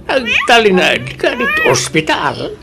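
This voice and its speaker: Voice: mid-range voice, wildly swooping pitch, a little slow, creaky, very noisy, heavily acted. An actor playing a bossy, nagging elderly husband with a Filipino accent in a radio drama.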